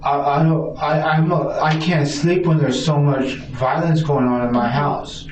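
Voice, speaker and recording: A distressed man gives a rambling account as a suspect under police questioning, stammering and repeating himself. His voice sits at a middle pitch. The recording is echoey and picked up from a distance, with some background noise. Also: fairly even intonation, measured pace. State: distressed, frightened. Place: indoor police interview room. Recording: roomy, distant, muffled, noisy interrogation recording.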